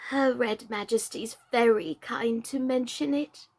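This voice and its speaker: A woman voicing a gentle, dithering queen who coos like a pigeon and recites in a sing-song way. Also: fairly high pitch, fairly even intonation, unhurried. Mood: cooing. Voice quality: cooing like a pigeon.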